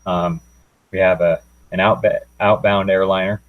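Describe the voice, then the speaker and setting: A young man with a very deep voice presents a technical project as a student would. His intonation stays quite flat and he feels his way through the walkthrough hesitantly, in plain informal language. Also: normal speed, muffled recording.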